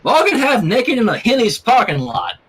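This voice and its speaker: A man's voice: very high voice, wildly swooping pitch, moderate pace, fairly bright tone, husky, voice pushed up, heavily acted. A man voicing a plain-spoken, folksy country teenage girl from a cartoon, terse and a little smug. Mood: matter-of-fact.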